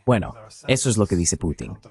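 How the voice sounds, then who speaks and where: A man voicing a translated interview as the interviewer, speaking in a measured, analytical manner. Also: sits low, animated, vocal fry, a little mellow.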